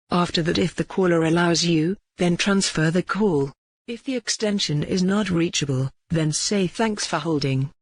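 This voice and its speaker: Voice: medium pitch, no echo. Formal instructional narrator, very dry sound. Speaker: tutorial narrator.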